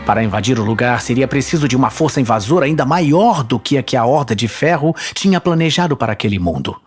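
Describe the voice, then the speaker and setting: A man's voice: mid-range voice, expressive, fast, soft background music. A man with a Brazilian accent reading dramatic scripted fantasy narration as the storyteller.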